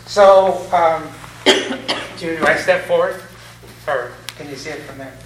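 A man's voice: on the high side, slow pace, a little hiss or hum. Hesitant man speaking at a hearing. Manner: hesitant, unsure of procedure, informal. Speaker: applicant at public hearing. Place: town meeting room. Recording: echoey room from far off.

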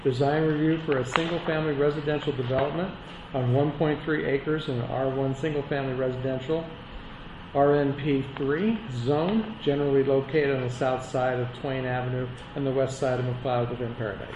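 A man chairing a public board hearing, reading agenda items in a formal, steady cadence and calling on speakers. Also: mid-range voice, a little fast, noisy background. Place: public meeting chamber. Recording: echoey meeting room with dull sound.

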